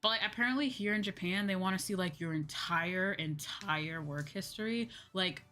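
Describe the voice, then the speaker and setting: Low-voiced woman chatting on stream. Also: moderate pace, sharp tone. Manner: informal, conversational, frequent "like" and "you know". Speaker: VTuber livestream host. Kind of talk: casual livestream chat with viewers. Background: background music.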